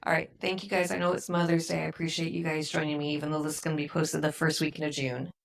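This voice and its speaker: A middle-aged woman with a gravelly, creaky voice, chatting casually as a podcast host. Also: low-pitched, flat delivery, quick pace. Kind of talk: casual chat.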